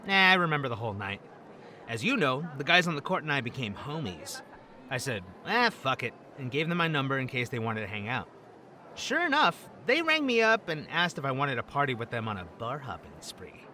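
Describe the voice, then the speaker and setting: A man's voice: medium pitch, wildly swooping pitch, fairly quick, sharp tone, a little hiss or hum, heavily acted. An actor playing a wry, jokey man with a troubled past, talking in a casual, slangy, hesitant way in an audio drama. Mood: playful, casual. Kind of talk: acted audio drama dialogue.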